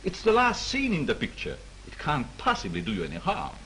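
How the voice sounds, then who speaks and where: A level-headed studio boss talks others round in a soothing, persuasive way. His voice rises and falls with lively pitch. It comes through a faintly hissy 1930s film soundtrack. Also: brisk. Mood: reasoning. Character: calm studio executive, middle-aged man.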